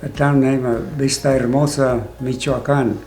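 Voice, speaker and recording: Grandfather from Mexico, 80s, unhurried and gravelly, with faint music behind. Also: mid-range voice, steady tone, a little mellow. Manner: short plain answers with pauses. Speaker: interviewee, grandfather. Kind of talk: casual family interview.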